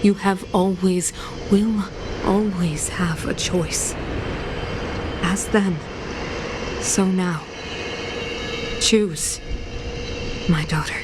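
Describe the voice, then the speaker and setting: Cold grandiose villain ruler mom from a game, talks real slow and commanding, noisy background. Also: female voice, lowish voice, fairly even intonation. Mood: cold, solemn. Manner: slow, deliberate, theatrical, commanding. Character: imperious villainous supreme guardian mother, cold and grandiose. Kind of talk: dramatic video game voice acting.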